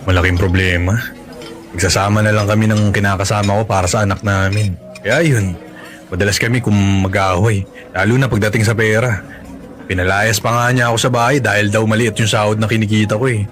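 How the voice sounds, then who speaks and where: A man's voice: fairly low pitch, fairly even intonation, brisk, a bit bright, lightly acted. An actor playing a burdened father confiding in a coworker, casual and resigned, in a Filipino radio drama. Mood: weary, confiding. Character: burdened male coworker, weary father hiding troubles. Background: background music.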